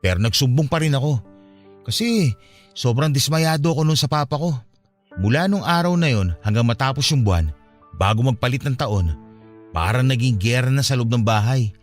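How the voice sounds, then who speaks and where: Actor as earnest young man, low confiding voice. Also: animated, moderate pace, long pauses, close to an everyday voice. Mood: dismayed, grieving. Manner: conversational, confessional. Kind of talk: radio drama dialogue. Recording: music behind the voice.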